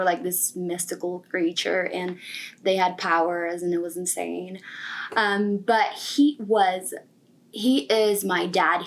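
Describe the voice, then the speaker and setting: A woman in her twenties who grew up in Brazil with Portuguese as her first language shares a personal story. Her pitch sits in the middle for a woman. She talks informally, with youthful, emphatic phrasing, as if chatting to peers. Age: 20s. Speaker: software engineering student telling career story.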